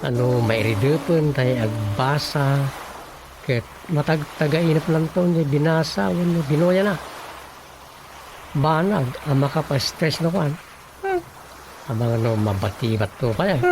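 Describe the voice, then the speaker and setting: An actor with an Ilocano Filipino accent playing an easygoing, persuasive husband in a comedy radio drama, chatty and coaxing. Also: male voice, a little high, measured pace, pauses often, background noise. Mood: coaxing, playful.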